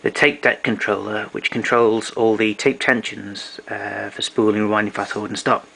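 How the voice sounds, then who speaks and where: A man's voice: on the low side, level tone, normal speed, creaky, fairly bright tone, slightly rough, low-quality recording. A man giving an informal technical demonstration of equipment, explaining things methodically.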